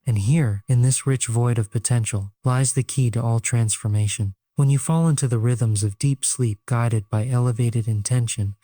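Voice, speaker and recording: A man narrating a scripted guided sleep meditation in a solemn, measured, earnest way. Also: medium pitch, warm tone, no echo, studio quality.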